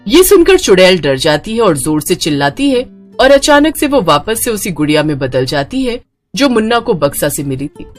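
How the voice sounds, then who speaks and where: Animated woman narrating a horror story. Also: fairly low pitch.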